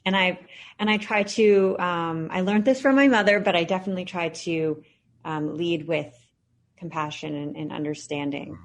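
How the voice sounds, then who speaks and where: A woman's voice: on the low side, normal speed. Earnest woman in her thirties, reflective. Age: 30s. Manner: earnest and reflective, with frequent fillers. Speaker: podcast guest, founder. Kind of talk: casual interview answers.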